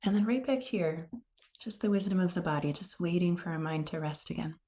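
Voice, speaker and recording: Female meditation guide, soft-edged dark tone with noticeable vocal fry, gentle, slow-paced delivery. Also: lowish voice. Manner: gentle, unhurried, soothing. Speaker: meditation teacher.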